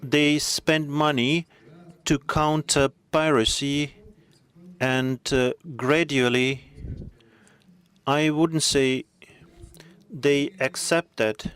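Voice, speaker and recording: Slow-talking guy on a conference panel, hesitant and measured, smooth resonant voice. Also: a little high, steady tone, fairly bright tone. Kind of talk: panel discussion.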